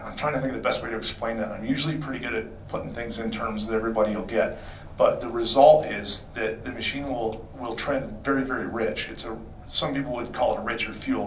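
A man's voice: lowish voice, a bit bright, slight background noise. A middle-aged man answering audience questions about engines in a conversational, explanatory way, speaking into a handheld mic in a hotel meeting room. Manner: explanatory, conversational, self-correcting. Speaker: performance shop expert. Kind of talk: technical Q&A talk. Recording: roomy sound from a distant camera.

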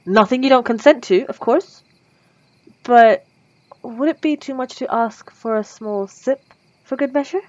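A woman voicing a refined, possessive female vampire centuries old, speaking in a formal, old-fashioned way in a soft, intimate ASMR roleplay. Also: fairly high pitch, measured pace, no room sound, dull audio, natural voice. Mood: courteous, eager.

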